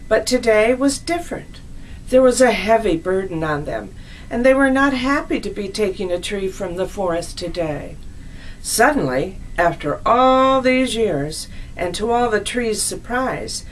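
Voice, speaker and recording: A woman narrating a scripted children's story as a storyteller, in a deliberate, expressive read-aloud manner. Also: a little high, slight background noise, low-quality recording.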